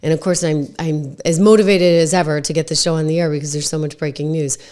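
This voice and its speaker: A middle-aged woman hosting a political talk show, slightly hoarse from a cold, talking emphatically and conversationally with lots of "like" fillers. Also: low-pitched, fairly even intonation, fast, darkish tone. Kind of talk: opinionated political commentary.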